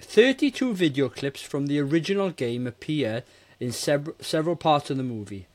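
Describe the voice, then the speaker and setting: A man's voice: on the high side, expressive, normal speed, a little mellow. A man in his forties giving blunt, casual opinions on a film as a podcast co-host. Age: 40s. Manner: blunt, mildly sweary.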